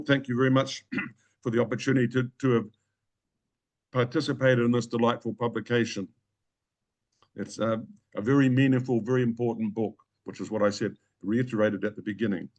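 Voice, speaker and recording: Measured Māori-speaking New man. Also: medium pitch. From New Zealand. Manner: measured, with hesitant fillers and restarts. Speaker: reader at online reading. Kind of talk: reading his own flash fiction, with spoken introductions. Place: home in Hong Kong. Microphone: video call.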